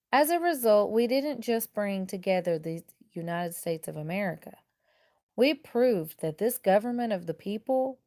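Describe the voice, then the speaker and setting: Female teacher for picture-book narration; mid-range voice, deliberate emphasis, dry close recording. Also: mid-range voice, dark tone, dry recording. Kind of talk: expressive children's storybook read-aloud in first person.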